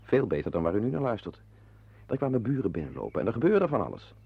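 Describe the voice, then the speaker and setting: A man's voice: fairly low pitch, very animated, quick pace, vocal fry, slightly rough, no room sound, full character voice. A man playing a weary, wry playwright who narrates a radio play, confiding in listeners in a dry, digressive way. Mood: wry, reflective. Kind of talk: intimate first-person radio play narration. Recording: dull lo-fi radio play recording.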